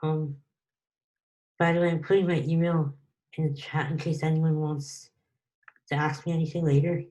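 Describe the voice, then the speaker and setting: A young woman answering questions after her student presentation on a video call, relaxed and hesitant, with many pauses. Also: low-pitched, low-quality recording. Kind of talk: casual online Q&A.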